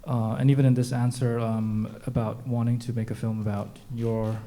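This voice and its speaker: A man moderates a question session after a film screening. He asks long, carefully built questions with the odd filler. His voice is dark and gravelly, with faint noise behind it. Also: mid-range voice, little pitch movement. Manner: measured, wordy questions with fillers.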